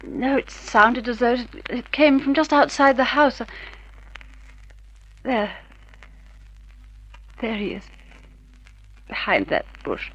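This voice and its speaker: A woman's voice: fairly high pitch, expressive, slow pace, long pauses, fairly bright tone, breathy edge, full character voice. A woman playing an earnest, aggrieved wife, speaking emphatically and formally in a 1950s radio drama style. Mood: tense. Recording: muffled vintage radio recording.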